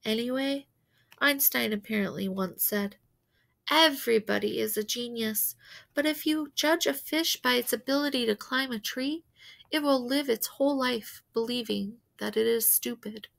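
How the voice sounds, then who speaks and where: A woman's voice: on the high side, normal speed, vocal fry, fairly bright tone. Woman reading as an earnest, protective mother. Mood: earnest, subdued. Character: earnest, protective mother defending her misunderstood son.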